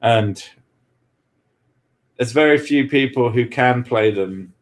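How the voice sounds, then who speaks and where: A man's voice: medium pitch, slow pace. A man from Britain chatting casually as host, joking, swearing freely and laughing often. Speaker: podcast host answering questions. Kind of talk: casual chat.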